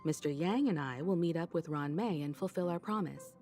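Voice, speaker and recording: A woman voicing a poised, mature, gently wry leader in a video game. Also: deep voice, fairly quick, faint music behind, close to an everyday voice. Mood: composed, authoritative.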